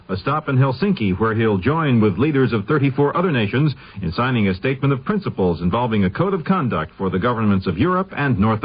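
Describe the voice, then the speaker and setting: A man's voice: medium pitch, breathy edge. A man anchoring a scripted radio news bulletin, heard on a muffled vintage 1970s broadcast.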